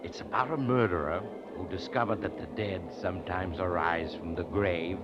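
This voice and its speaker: A man plays a mysterious traveler hosting radio horror tales. His voice is lowish, theatrical and insinuating, with wry menace. It is a muffled vintage recording with heavy train noise. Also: moderate pace, close to an everyday voice. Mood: sly, macabre. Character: eerie, sly mysterious traveler telling macabre tales. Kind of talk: 1940s radio horror host narration. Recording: dull, very noisy radio, train rumble behind.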